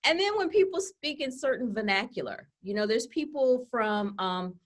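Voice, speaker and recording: A woman's voice: a little high, lively intonation, moderate pace. Woman co-host, chatty webinar presenter, laptop webcam call audio. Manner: chatty, full of fillers like "you know" and "okay?". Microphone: laptop webcam on a video call.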